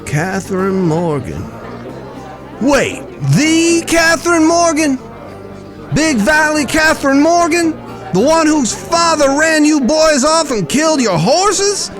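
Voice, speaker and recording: An actor in a western audio drama playing a hearty cowboy friend who spins tales in a folksy, emphatic way. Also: male voice, extremely high pitch, very animated, slow pace, a bit bright, heavily acted. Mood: concerned. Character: hearty, storytelling older cowboy friend, slow drawl. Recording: music in the background.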